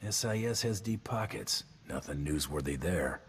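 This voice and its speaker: An actor playing a big, flirtatious tough mercenary in a video game, teasing and laconic. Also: male voice, low voice, expressive, normal speed, slight background noise. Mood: matter-of-fact.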